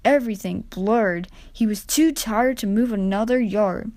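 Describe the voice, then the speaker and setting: Lady reading a kids story aloud, normal pitch, dry close sound. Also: a little slow, darkish tone, no room sound. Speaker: storybook narrator. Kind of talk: scripted children's story narration.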